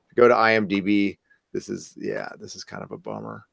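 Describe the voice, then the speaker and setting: Creaky-voiced man in casual chat. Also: fairly low pitch, sing-song, a little fast, fairly bright tone, dry recording, studio quality. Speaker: co-host. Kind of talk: casual chat, reading viewer comments.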